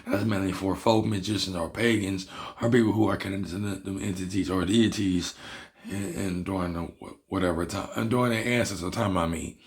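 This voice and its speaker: A man from Barbados with a Bajan accent, hoarse from losing his voice, explaining a topic in a rambling, repetitive casual monologue as a video host. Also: low voice, steady tone, moderate pace. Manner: rambling, repeats himself and restarts words. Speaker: hoodoo practitioner and video host.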